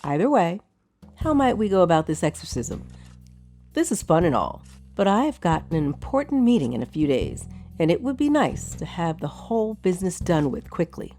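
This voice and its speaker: A woman's voice: on the low side, sing-song, normal speed, mellow, soft background music. An actress playing a poised, wry noblewoman of a wizard's tower in a fantasy audio drama. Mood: businesslike. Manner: dry, amused, composed.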